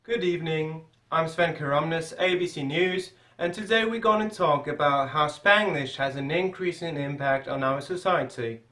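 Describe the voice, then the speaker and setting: Young guy as news anchor, bit high voice, echoey room. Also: moderate pace, hardly any pauses, pushed and bright. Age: young adult. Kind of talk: scripted class news report.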